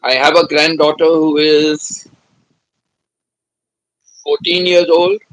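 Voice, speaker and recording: A man in his seventies hosts a casual live stream, talking slowly in a gentle, grandfatherly way that circles back over his own words. His voice sits a little high for a man and comes through close and dry, with no room around it. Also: flat delivery, no room sound, low-quality recording. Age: 70s. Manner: hums to himself between chats; gentle, grandfatherly, repeats himself.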